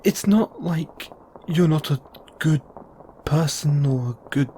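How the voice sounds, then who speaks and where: A man's voice: a little high, takes it slowly, dark tone, a little hiss or hum. A man playing a kindly middle-aged father in an audio roleplay, nervous, awkward and stammering. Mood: flustered.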